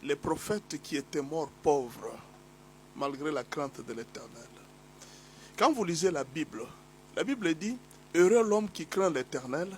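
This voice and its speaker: A man with a somewhat high voice delivers a sermon while fighting a cold, his tone slightly hoarse. He drives his points home through repetition and rising exhortation. A faint hum sits under the recording. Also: lively intonation, a little slow, low-quality recording. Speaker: pastor. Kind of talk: sermon teaching.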